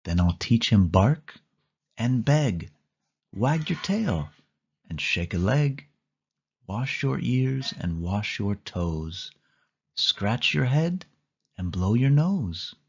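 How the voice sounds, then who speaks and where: A man reads a children's picture book aloud, slow and sing-song, his pitch swinging widely from line to line. His voice sits low and a touch husky. The recording is dull and lo-fi. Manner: slow, sing-song storytelling with lively rises and falls. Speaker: storybook reader. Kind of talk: children's read-along narration.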